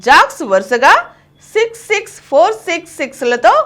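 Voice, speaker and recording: A woman's voice: sits high, very animated, fairly quick, fairly bright tone. A woman reading a scripted news bulletin. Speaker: news reader.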